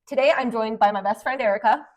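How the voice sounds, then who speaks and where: A woman around forty hosting a podcast, polished in her intro and chatty when she explains things. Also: a little high. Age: late 30s to 40. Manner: brisk, polished delivery that turns chatty and explanatory. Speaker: podcast host and Amazon influencer. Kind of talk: podcast conversation.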